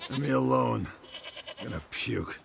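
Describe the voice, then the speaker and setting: An actor playing a groggy, grumbling monster hunter in a video game, with a gravelly voice. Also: male voice, mid-range voice, moderate pace, a little hiss or hum. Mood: groggy, queasy.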